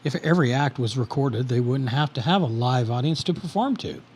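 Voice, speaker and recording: An actor playing a frustrated investigator in an audio drama, explaining things in a matter-of-fact way. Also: male voice, mid-range voice, a little fast, a little mellow, slight background noise, close to an everyday voice. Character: grim male investigator, frustrated.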